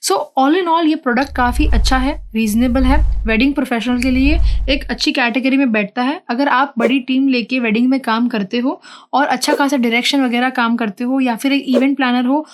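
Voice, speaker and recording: A woman with an Indian accent and a distinctly high-pitched voice presents a product review. Her delivery is conversational and explanatory, addressed straight to the viewer as she demonstrates how things work. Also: fairly even intonation, normal speed. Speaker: product reviewer and channel host.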